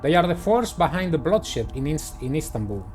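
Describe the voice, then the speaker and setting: Male reader as a loyal knight in a gothic visual novel, grave and courtly, slightly high register, music beneath. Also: sing-song, moderate pace, a little mellow. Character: grave, formal knight investigator reporting to his sovereign.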